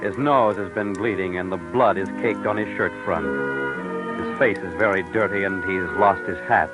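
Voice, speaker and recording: A male narrator giving measured, dry scripted narration for an old radio drama. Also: low-pitched, poor audio. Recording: old radio recording with music.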